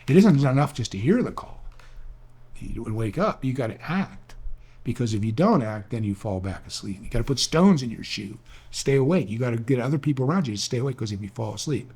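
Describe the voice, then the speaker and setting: A man's voice: medium pitch, animated, fairly quick, darkish tone, breathy edge. An older man, an author, telling rambling, earnest stories in a reflective talk, often restarting his sentences. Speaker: author and speaker.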